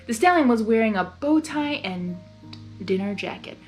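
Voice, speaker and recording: A woman hosts a fan fiction reading in a fairly high voice. She narrates expressively and chats informally as she reacts, over faint background music. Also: normal speed. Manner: chatty, expressive. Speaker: story reader and host.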